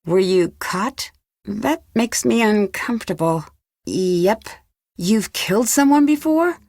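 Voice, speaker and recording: A woman's voice: mid-range voice, expressive, takes it slowly, darkish tone, no echo, clean studio sound. A woman playing a curious, composed young female detective in an adventure game, asking direct and unflinching questions.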